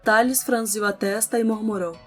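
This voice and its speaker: A woman's voice: mid-range voice, normal speed, fairly bright tone. A woman with a Brazilian accent reading scripted narration for an audio novel. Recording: music under the voice.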